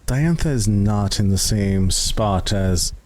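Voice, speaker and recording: A man running a horror tabletop game, narrating cinematically and deliberately as he addresses his players directly. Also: on the low side, darkish tone. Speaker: game master. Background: background music.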